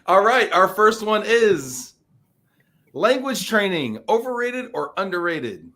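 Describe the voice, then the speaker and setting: A man's voice: high-pitched, sing-song, slow pace, pauses often, sharp tone. A man hosting a live-streamed interview, playful and teasing, reading out viewer comments with frequent restarts. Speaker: show host.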